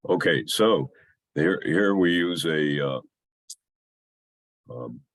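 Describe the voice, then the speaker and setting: A man with a scratchy, under-the-weather voice gives an explanatory technical slide presentation in a halting, hesitant way with long pauses. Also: very deep voice, animated, no room sound. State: scratchy voice from a mild illness.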